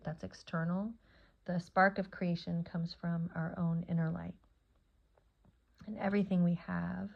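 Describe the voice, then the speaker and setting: A woman leading a guided meditation as a yoga nidra teacher, speaking in a soothing, unhurried way with long pauses between short phrases. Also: lowish voice, vocal fry, dull audio.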